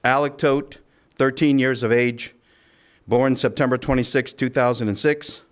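A man speaking as a sheriff at a press conference, delivering a formal statement in a measured, official, occasionally halting way. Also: medium pitch, fairly even intonation. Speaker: county sheriff.

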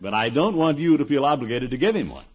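Blustery, swooping middle-aged uncle on old radio. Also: male voice, medium pitch, big pitch swings, quick pace, darkish tone, husky. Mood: fussy, exasperated. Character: pompous, blustery middle-aged uncle, a town water commissioner. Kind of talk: 1950s radio situation comedy acting. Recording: dull, noisy old radio recording.

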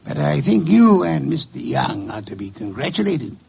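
An actor playing a shrewd, imperious detective genius in an old radio drama, speaking formally and deliberately with a gravelly, pompous delivery. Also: male voice, a little high, very animated, heavily acted. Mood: stern, knowing. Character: shrewd, imperious gentleman detective genius, male, coolly taunting. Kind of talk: 1940s-50s radio drama acting. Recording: dull, lo-fi old radio recording.

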